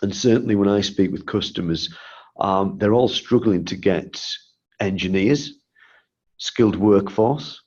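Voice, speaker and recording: A man with a lowish, stuffy voice from a cold leads an online presentation. He explains his points and asks rhetorical questions. The sound is muffled and lo-fi, like web-call audio. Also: measured pace, a little mellow. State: congested from a cold. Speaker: guest presenter. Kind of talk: webinar presentation.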